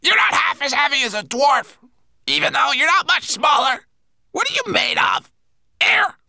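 Brash raven, croaky n squawky, way up high and piercing, storybook bird. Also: male voice, very animated, normal speed, bright, forceful tone, no room sound, full character voice. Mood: teasing. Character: brash, impatient croaking raven, streaking through the sky.

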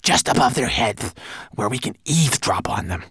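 A man's voice: medium pitch, lively intonation, moderate pace, a bit bright, slightly rough, no echo, voice pushed up, exaggerated voice. A man voicing a tiny, spindly, nervous and sly mannequin with a fretful, furtive manner in a storybook reading.

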